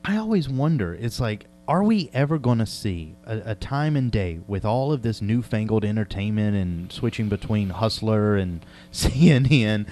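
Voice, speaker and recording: A man's voice: fairly low pitch, darkish tone, a little hiss or hum. A man interviewing in a casual, joking chat, tossing in wisecracks and quips.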